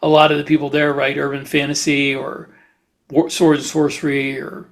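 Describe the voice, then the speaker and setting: A middle-aged man co-hosting a podcast in casual chat, halting as he thinks aloud. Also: on the high side, monotone. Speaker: podcast co-host, science fiction author.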